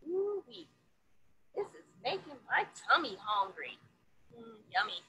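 A woman in her thirties reading a picture book aloud to young children in an expressive, deliberate, sing-song storytelling manner. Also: a little high, a little hiss or hum, poor audio.

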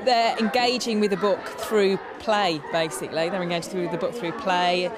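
A woman's voice: lowish voice, fairly even intonation, moderate pace, crowd noise. A woman, a drama teacher, explaining things off the cuff and rephrasing as she goes. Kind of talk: spontaneous explanation. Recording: clear hubbub of voices behind.

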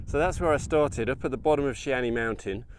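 A man's voice: medium pitch, fast. A man in his thirties giving casual, informal commentary as he hosts a hiking vlog, with wry asides. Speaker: host of a history hiking vlog. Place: outdoors in open countryside on a hiking trail. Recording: outdoor noise, open-air ambience.

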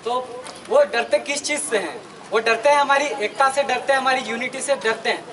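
A man in his twenties with an Indian accent gives a political protest speech outdoors, earnest and emphatic, with halting phrasing. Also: very high voice, a bit bright. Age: 20s. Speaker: student activist speaker. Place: outdoors at night at an open protest gathering. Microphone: handheld microphone, recorded by camera at a distance. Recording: distant outdoor public-address sound, crowd noise. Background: crowd.

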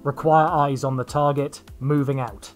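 A man's voice: mid-range voice, little pitch movement, normal speed, faint music behind, natural voice. A man playing an armored sci-fi film trooper giving clipped military orders, muffled as if inside a helmet. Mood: flat command. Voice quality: muffled by cupped hands.

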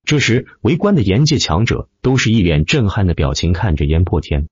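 Husky, deep-voiced male audiobook narrator. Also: big pitch swings, a little fast, darkish tone, no room sound, lo-fi sound. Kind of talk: dramatic scripted narration of a fantasy web novel.

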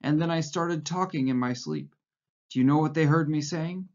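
Reader role: man performing a pleading, near-blubbering prisoner, pitched well above the narration, muffled recording. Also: normal speed, darkish tone, lightly acted. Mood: anxious, sanctimonious. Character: frightened, fawning middle-aged male prisoner.

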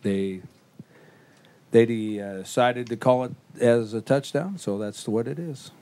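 A man, a head football coach, answering press questions after a game in a measured, blunt way, with fillers. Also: medium pitch, dark tone. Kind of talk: postgame press conference answers.

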